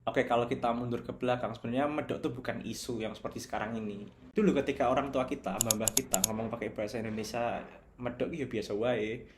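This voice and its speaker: A man's voice: mid-range voice, fairly even intonation, fast, background noise. Reflective man with a Javanese accent. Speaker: video essayist. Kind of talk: reflective video essay commentary.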